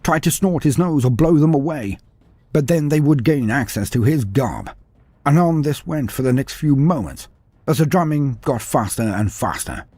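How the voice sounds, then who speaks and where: Animated male storyteller, husky. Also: mid-range voice, no room sound. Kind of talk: scripted story narration.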